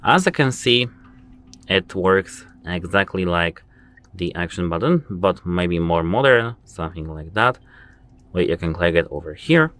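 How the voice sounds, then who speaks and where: Deep-voiced man walking through phone tips. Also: animated, a little slow, fairly bright tone. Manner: explains step by step, repeats "as you can see". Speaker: tech tutorial host. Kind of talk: casual phone how-to walkthrough.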